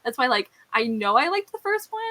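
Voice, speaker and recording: Bright, animated, higher-voiced woman. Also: brisk. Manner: chatty, jokey, animated. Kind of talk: casual chat about books.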